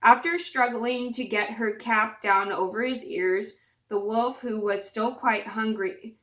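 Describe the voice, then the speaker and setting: A woman's voice: on the high side, fairly even intonation, measured pace, a bit bright. A woman with a scratchy voice hosting her channel and reading a fairytale aloud, with chatty, apologetic asides. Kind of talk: storybook read-aloud.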